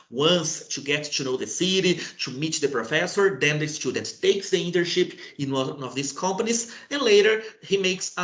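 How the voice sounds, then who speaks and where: A man's voice: a little high, fairly bright tone. Brazilian-accented man presenting, echoey room. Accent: Brazilian Portuguese. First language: Portuguese. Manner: frequent hesitations, friendly explanatory tone. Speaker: university international affairs representative. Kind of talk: informational presentation.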